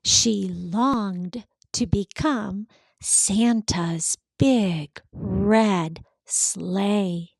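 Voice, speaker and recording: Female storybook reader for young kids, somewhat high voice, expressive and very unhurried. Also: no room sound. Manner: expressive, deliberate, simple phrasing for children. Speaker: host of children's read-alouds. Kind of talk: storybook read-aloud for young children.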